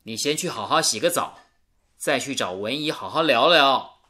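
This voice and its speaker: An actor playing a sensible, fussing young married man in a radio drama, earnest and gently chiding. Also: medium pitch, lively intonation, moderate pace. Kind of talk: Mandarin radio drama acting.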